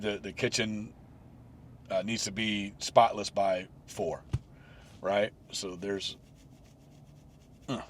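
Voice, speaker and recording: Rambling man in his forties, low voice. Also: a little hiss or hum. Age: 40s. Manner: rambling, many restarts and fillers. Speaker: podcast host. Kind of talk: solo reflective podcast talk.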